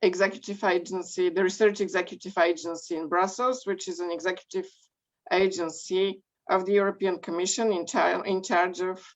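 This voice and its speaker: A woman from Bulgaria, telling her career story as a guest in an online interview, measured with many pauses. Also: fairly low pitch, level tone. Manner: measured, frequent ums and pauses. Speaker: guest speaker. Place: home or office in Belgium. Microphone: video call. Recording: dull lo-fi call audio.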